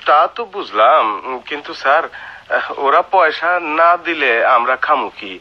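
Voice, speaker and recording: An actor in a comic radio play playing a pushy, nagging doctor's assistant with a rural eastern Bangladeshi dialect. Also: male voice, a little high, expressive, moderate pace. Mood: pleading, grumbling. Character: pushy, grumbling, bossy compounder, doctor's assistant. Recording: dull lo-fi radio drama recording.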